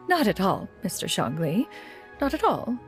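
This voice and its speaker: A woman's voice: fairly low pitch, huge pitch swings, takes it slowly, soft background music, heavily acted. A woman playing a proud, dignified mentor in an anime game, speaking in a formal, stately way. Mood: flattering, pleased. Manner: formal, stately, archaic phrasing. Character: dignified, proud immortal woman mentor, haughty yet caring.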